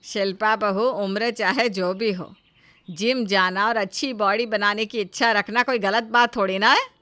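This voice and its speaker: A woman's voice: medium pitch, steady tone, measured pace, sharp tone, no room sound. A woman voicing a blunt, teasing older mother-in-law in a family story, taunting and gossipy. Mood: chiding.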